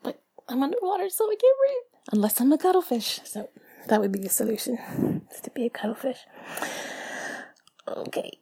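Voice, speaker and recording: Breathy, high-voiced woman in intimate ASMR. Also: very animated, moderate pace, long pauses. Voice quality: soft, breathy, often dropping to a whisper. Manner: giggly, playful, chatty. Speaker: audio performer addressing the listener. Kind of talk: intimate ASMR, rambling and affectionate. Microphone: close mic.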